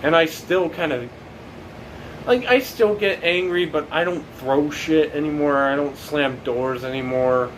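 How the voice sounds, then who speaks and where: A young man in his late teens talking casually about his life, rambling and halting, with many fillers and restarts. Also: on the high side, a little hiss or hum, rough recording. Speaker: documentary subject. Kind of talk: casual reflective monologue.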